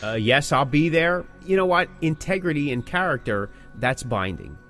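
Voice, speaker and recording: A man's voice: fairly high pitch, sing-song, moderate pace, fairly bright tone, faint music behind. A man co-hosting a podcast, talking casually but emphatically, giving advice and repeating key points for stress. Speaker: podcast co-host. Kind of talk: casual talk about the music business, with scripted sign-offs.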